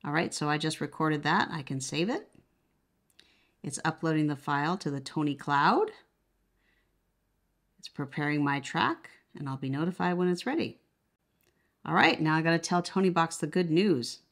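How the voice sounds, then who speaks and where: A woman with a notably low-pitched voice talks through a product demonstration. She is casual and conversational, explaining each step as she goes. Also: animated, normal speed, pauses often. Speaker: product reviewer.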